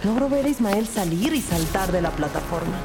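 A woman's voice: mid-range voice, animated, fairly quick, very noisy, natural voice. A woman playing a determined police detective who narrates her case in a scripted audio drama. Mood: tense narrating. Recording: music bed behind the voice.

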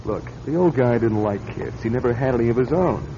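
An actor playing an irritable, cynical, self-pitying salesman in a radio drama, gruff, sarcastic and always complaining. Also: mid-range voice, lively intonation, a little fast, mellow, slight background noise, close to an everyday voice. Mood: defensive, dismissive. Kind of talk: classic radio drama acting. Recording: dull radio sound, long reverb.

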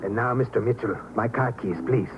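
An actor playing a coldly polite villain in a radio thriller, formal, precise and smug, on an old recording. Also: male voice, mid-range voice, steady tone, fairly quick, heavy background noise, natural voice. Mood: cold command. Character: coldly polite, pale-eyed foreign bomber villain. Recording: tinny, phone-like vintage radio recording.

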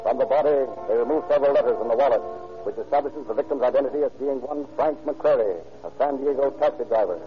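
An actor as the formal narrator of a police case in an old-time radio crime drama. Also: male voice, mid-range voice, fast talker, lightly acted. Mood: formal, dramatic. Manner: formal, urgent present-tense reporting. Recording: tinny old radio recording. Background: music.

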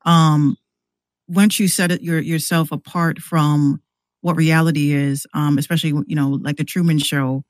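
A man with a slightly high voice chats as a guest on a podcast. His talk is loose and rambling, circling back over words as he thinks, and the sound is close and dry. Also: little pitch movement, moderate pace, no room sound. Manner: rambling, repeats words while working out thoughts. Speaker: podcast guest and music maker. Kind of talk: casual conversational podcast talk.

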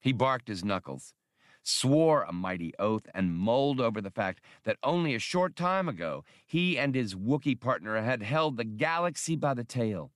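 A man narrating an audiobook in a measured, steady storytelling manner. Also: mid-range voice, no echo, studio quality.

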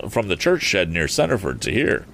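A man's voice: sits low, huge pitch swings, normal speed, gravelly, fairly bright tone, exaggerated voice. An earnest, plucky young man, voiced by a male storybook reader. He speaks directly and politely. His intonation rises and falls widely, over faint recording noise. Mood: earnest, determined. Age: young adult. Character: earnest, plucky young fellow, robbed and stranded.

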